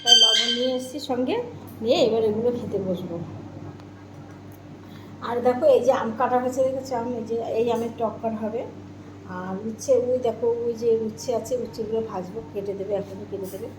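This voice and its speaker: A woman's voice: fairly high pitch, fairly quick. A woman vlogging casually at home, talking to viewers, repeating her phrases and asking them questions. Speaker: home cooking and eating vlogger. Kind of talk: casual chatty vlog talk to viewers. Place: indoors at home. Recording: echoey room with clear background noise.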